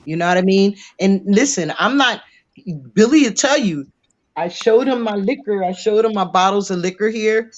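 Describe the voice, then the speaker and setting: A middle-aged man in recovery talking bluntly in a casual debate, often repeating himself. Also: high-pitched. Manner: blunt, repetitive, talks over others. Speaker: recovering alcoholic. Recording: dull lo-fi audio.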